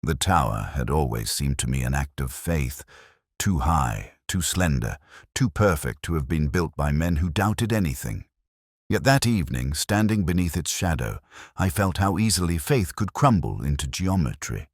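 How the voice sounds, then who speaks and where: Guy acting as a gloomy thoughtful detective telling his story, super deep voice, solemn and measured, really dry recording. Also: lively intonation, dry recording, heavily acted. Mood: brooding, reflective. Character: brooding, melancholy, cerebral male English police detective. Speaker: narrator. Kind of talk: first-person detective story narration.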